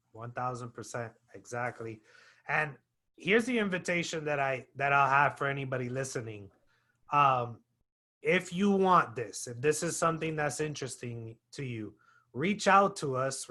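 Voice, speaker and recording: A man's voice: fairly high pitch, bright, forceful tone. A man hosting a real estate webinar, talking emphatically and persuasively. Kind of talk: webinar conversation.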